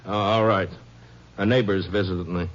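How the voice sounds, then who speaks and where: A man plays a jittery middle-aged rancher who stammers and dodges every question, his voice sitting on the low side. It sounds like an old, muffled radio broadcast. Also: fairly even intonation, normal speed, lightly acted. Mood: evasive. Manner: hesitant, stammering, evasive. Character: nervous evasive middle-aged male rancher suspect.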